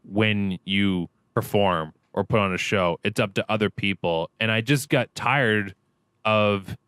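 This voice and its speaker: A man's voice: on the low side, a little slow, clear, resonant tone, dry recording, clean studio sound. A young adult man, a guest on a podcast, chatting casually in an upbeat, joking way. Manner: upbeat, jokey and animated. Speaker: podcast guest, streamer and actor. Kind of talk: casual interview chat.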